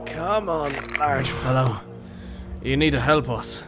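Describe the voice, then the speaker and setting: Man acting good-natured male adventurer, medium pitch, earnest, wary, loud music behind. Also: slow, natural voice. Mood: coaxing. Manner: wary, coaxing. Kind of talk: fantasy audio drama acting.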